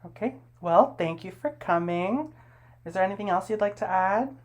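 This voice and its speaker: A woman's voice: mid-range voice, expressive, moderate pace, darkish tone. A young woman hosting a casual interview podcast, talking in a laid-back way with lots of fillers. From United States. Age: young adult. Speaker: podcast host.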